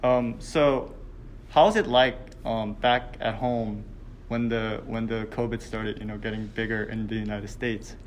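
A young man, a student, interviewing a classmate and asking his questions hesitantly, with many pauses and restarts. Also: on the low side, fairly even intonation, a little hiss or hum. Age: young adult. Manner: hesitant, full of ums and restarts. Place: hotel meeting room.

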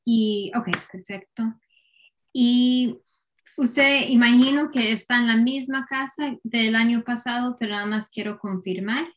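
A woman interviewer speaks in a slightly high voice. She is polite and formal, and she works through prepared questions with frequent fillers like "eh" and "ok.". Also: a little slow. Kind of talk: structured oral-history interview. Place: Connecticut, United States.

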